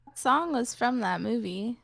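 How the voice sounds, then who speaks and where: A woman with a high voice speaks slowly on a podcast, dropping giggly remarks. Her voice sounds dry and close, with no room around it. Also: expressive, creaky, a bit bright, no echo. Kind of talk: casual podcast chat.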